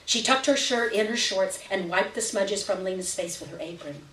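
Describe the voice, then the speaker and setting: A woman reads a short story aloud at a live event, with expressive, dramatic and emphatic narration. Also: medium pitch, normal speed. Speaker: story reader. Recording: roomy, distant live recording with noise.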